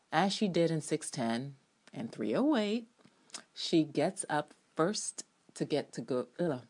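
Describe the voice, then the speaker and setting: Low-voiced woman, theatrical reader. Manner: theatrical, expressive delivery with playful ad libs. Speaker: podcast reviewer and TV fan. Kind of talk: dramatic reading of a written TV review essay.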